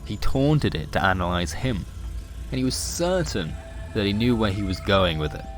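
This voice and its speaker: A man's voice: lowish voice, animated, normal speed, music in the background. A man reading scripted comic science fiction as a storyteller, with a wry, dramatic delivery. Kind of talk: scripted comic sci-fi narration.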